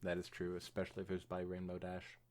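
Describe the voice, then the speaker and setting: A woman reading fan fiction aloud as a podcast co-host, narrating in an animated way with casual asides. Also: rumbling low, quick pace.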